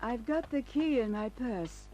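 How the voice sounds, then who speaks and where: A sly, flirtatious noir dame. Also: female voice, a little high, expressive, unhurried, warm tone, slight background noise, low-quality recording. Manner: coy, teasing. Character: flirtatious, sly blonde femme fatale. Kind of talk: old-time radio crime drama acting. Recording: old radio recording with faint music.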